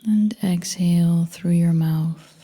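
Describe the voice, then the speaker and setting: Woman, low soft half-whisper, very slow and even, calm meditation guide, long reverb with birdsong. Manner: calm, gentle, soothing. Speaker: yoga nidra instructor.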